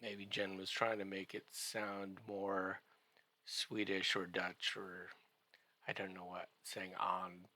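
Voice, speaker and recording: A man giving a comedic podcast recap in a halting, drawn-out way, full of wry joking asides. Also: deep voice, monotone, bright, forceful tone.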